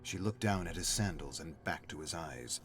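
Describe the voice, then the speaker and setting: A man's voice: deep voice, animated, moderate pace, music in the background. A man reading a story as a narrator, in a dramatic scripted style.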